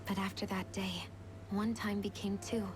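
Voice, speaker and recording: An actress playing a guarded young woman opening up with earnest resolve, in soft, measured dramatic video game style. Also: mid-range voice, little pitch movement, noisy background, lightly acted. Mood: tender, confiding. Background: game music.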